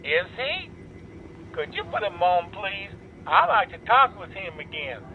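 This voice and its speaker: A man's voice: high voice, big pitch swings, unhurried, exaggerated voice. A man playing a polite, naive living teddy bear in an audio drama, heard as if over a telephone. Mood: polite, friendly. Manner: courteous, naive. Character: polite, earnest living teddy bear leading hostage takers. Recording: tinny phone line with background noise.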